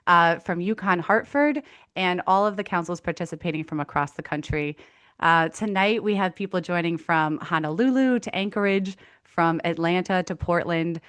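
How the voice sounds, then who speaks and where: A woman's voice: on the low side, fairly bright tone, clear, resonant tone, dry recording, clean studio sound. A woman hosting an online event, polished and welcoming, with the odd filler. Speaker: event host.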